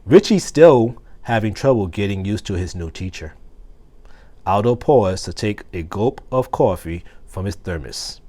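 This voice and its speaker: A man reads a children's storybook aloud at a slow, careful pace. His voice swings up and down in an expressive way, with deliberate phrasing, and sits a little on the low side. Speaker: assistant principal reading aloud.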